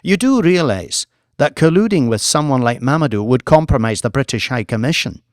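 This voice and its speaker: A man's voice: medium pitch, animated, a little fast, no room sound, natural voice. Male narrator as female British diplomat, formal, firm, wary, professional, audiobook dialogue, dry close recording. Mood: incredulous.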